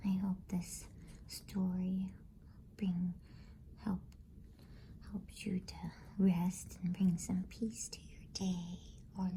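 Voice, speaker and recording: A woman reading a bedtime story in a soft, breathy ASMR whisper, gentle, soothing and unhurried. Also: mid-range voice, slight background noise, rough recording.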